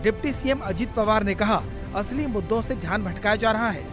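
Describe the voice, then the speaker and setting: A man's voice: sits high, brisk, music in the background. A man reading a scripted news report as a voice-over narrator.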